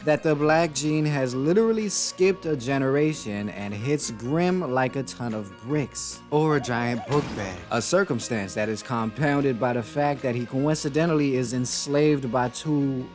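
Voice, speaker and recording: A man's voice: mid-range voice, measured pace, few pauses, darkish tone, soft background music. A man giving casual video commentary on a cartoon, wry and joking in a conversational way. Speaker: YouTube commentator.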